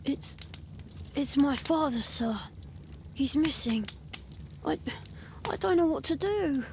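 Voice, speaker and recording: High-voiced anxious London boy. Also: lively intonation, takes it slowly, darkish tone, a little hiss or hum, full character voice. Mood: worried, hesitant. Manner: hesitant, respectful. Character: anxious, proud, poor young London boy. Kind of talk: video game voice acting.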